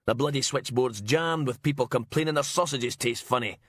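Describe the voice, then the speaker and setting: A man's voice: medium pitch, normal speed, slightly rough, no echo, pitched above the performer's own voice. Blustering middle-aged police inspector, played by a male narrator, hot-tempered and accusatory, for an audiobook crime story, recorded dry. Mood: angry. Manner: shouting, accusatory, sputtering. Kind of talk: audiobook character acting.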